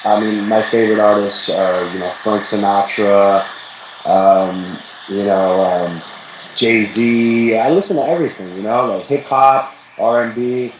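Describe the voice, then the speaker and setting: A young man from the Bronx, chatting casually as an interview guest, relaxed and rambling with many fillers. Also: fairly low pitch, a little slow, roomy sound, a little hiss or hum, rough recording. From the Bronx, New York.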